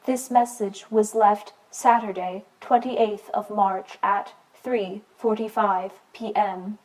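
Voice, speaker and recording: A formal answering-machine voice, performed by a woman, female and impersonal. It speaks very slowly and in a measured way, with clear noise on the recording. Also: mid-range voice, steady tone, lightly acted. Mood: neutral, formal. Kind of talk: automated voicemail announcement.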